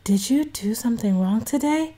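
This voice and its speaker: A woman voicing a gentle, loving mother who speaks softly and tenderly in a children's storybook. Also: fairly high pitch, expressive, normal speed. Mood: soft, concerned. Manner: soft, tender. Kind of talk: children's storybook read-aloud.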